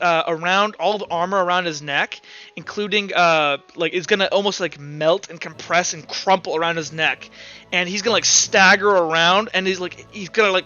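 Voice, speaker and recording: Bright, high-voiced man running a tabletop game. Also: lo-fi sound. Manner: casual, profane. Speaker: game master. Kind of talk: narrating and refereeing an online tabletop game. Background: music.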